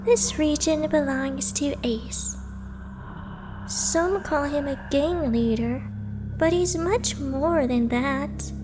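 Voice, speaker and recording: A high, unhurried female voice acted by a woman for an enigmatic young guide in a game fan dub. She is soft, coaxing and ethereal, with clearly noisy ambience around her. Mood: enigmatic, calm. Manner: soft, slow, reassuring, enigmatic. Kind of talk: visual novel fan dub acting.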